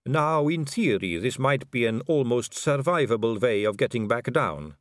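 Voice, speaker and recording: A man's voice: medium pitch, expressive, no echo, studio quality, natural voice. Man voicing a silky aristocratic lady vampire. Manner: slow, silky, languid delivery. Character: suave, poised aristocratic female vampire.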